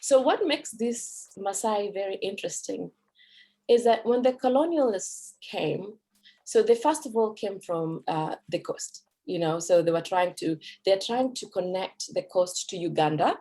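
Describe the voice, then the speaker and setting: A woman's voice: mid-range voice, lively intonation, unhurried, mellow. A Kenyan woman whose first language is Kikuyu, giving a conversational talk at a cultural event as a Swahili teacher, with fillers and self-corrections.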